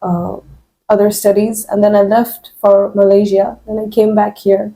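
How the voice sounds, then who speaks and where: A woman's voice: medium pitch, flat delivery, a little fast, creaky, dark tone, poor audio. Composed young woman answering interview questions. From Kuwait. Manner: composed, thoughtful, polite, fluent English with fillers. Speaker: interview candidate. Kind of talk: mock job interview answers.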